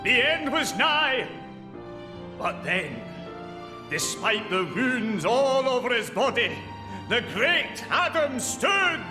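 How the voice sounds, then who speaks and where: The narrator of an epic play in a game cutscene. His very high voice moves slowly and gravely. Music plays behind, and the words carry a long hall echo. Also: very animated, full character voice. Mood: ominous. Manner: solemn, theatrical storytelling. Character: grave, grand narrator of a legendary stage play.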